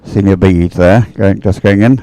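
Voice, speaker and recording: A man's voice: low-pitched, steady tone, moderate pace, dark tone, no echo. A man giving unscripted live sports commentary in a hesitant, rambling, conversational way. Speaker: race commentator. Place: outdoors beside the water at a regatta.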